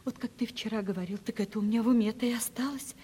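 An actress playing an anguished, resigned young woman in love in a 1970s Soviet radio play, hushed and breathy. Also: a little high, fairly even intonation, rapid-fire. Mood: resigned despair. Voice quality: breathy, husky. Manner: heartfelt, plaintive, hushed. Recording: old radio play with long reverb.

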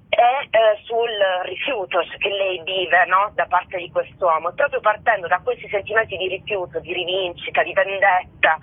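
A woman from southern Italy, a playwright and actress, talks as a radio guest in a rambling way, full of filler words and pauses to search for words. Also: lowish voice, breathy edge, no room sound. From Bari, southern Italy. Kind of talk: radio interview over the phone. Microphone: telephone. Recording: tinny phone line.

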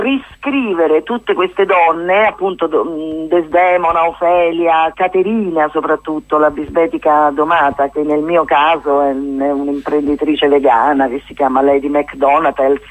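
A woman, chatting as a playwright-actress guest in a casual radio interview, often halting and rephrasing herself. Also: deep voice, no echo, low-quality recording. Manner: chatty, halting and self-correcting, with amused asides. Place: radio studio.

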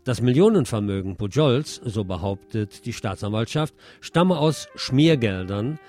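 A man reads the news as a radio presenter at a middling pitch. His delivery is scripted, with dry, wry asides worked into the reporting. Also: lively intonation, a little slow, a little mellow, faint music behind.

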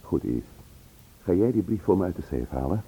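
An actor playing a shrewd, curious husband who calmly takes charge in a radio play, questioning in a measured, controlled way. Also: male voice, deep voice, breathy edge, full character voice, rough recording. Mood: probing, firm.

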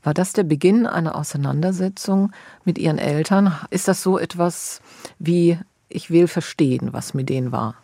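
A woman conducts an interview in a measured, prepared manner. Her voice is mellow and dark in tone, recorded dry and close, as she sums up and then asks. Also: deep voice, no echo.

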